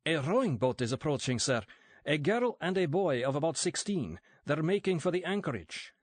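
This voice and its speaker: A man's voice: medium pitch, expressive, moderate pace, no room sound, pitched above the performer's own voice, natural voice. A man voicing a nervous, deferential male Soviet subordinate with a Ukrainian accent, reading from an audiobook. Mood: agitated. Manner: eager, anxious, deferential.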